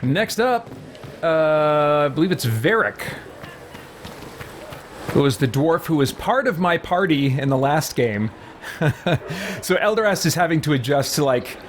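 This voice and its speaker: A man giving casual roleplay playthrough commentary, musing and explaining in a halting, thinking-aloud way. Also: on the high side, expressive, slight background noise. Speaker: gaming video host. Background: game music.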